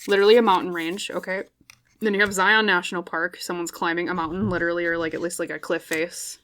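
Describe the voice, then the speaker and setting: Slightly hoarse woman doing a rambling tarot reading. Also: fairly low pitch, level tone, fast, a bit bright. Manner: rambling and reflective, tag questions like "okay?". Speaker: tarot reader. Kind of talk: intuitive pick-a-card tarot reading. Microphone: microphone.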